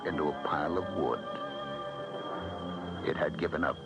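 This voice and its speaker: A very deep-voiced doctor tells and lives a ghost story in old-time radio style. His delivery is solemn and deliberate, with strong dramatic weight. Loud music plays under the old broadcast. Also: lo-fi sound. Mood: grave, reflective. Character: grave male small-town doctor narrating his ghost story. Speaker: actor, lead and narrator.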